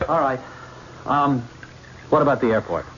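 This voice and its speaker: An actor plays an insistent, pleading man in love, in 1970s radio drama style. His middle-pitched voice swings widely with worry. It comes through a dull, clearly noisy old broadcast. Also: lively intonation, normal speed, a bit bright, natural voice. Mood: coaxing, anxious.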